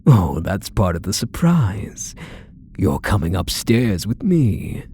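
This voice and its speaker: A man's voice: fairly low pitch, big pitch swings, slow pace, warm tone, slight background noise. A man playing a possessive, doting kidnapper husband in an intimate ASMR roleplay, cooing and coaxing with pet names. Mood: calm, controlling. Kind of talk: ASMR roleplay audio drama, intimate monologue to the listener.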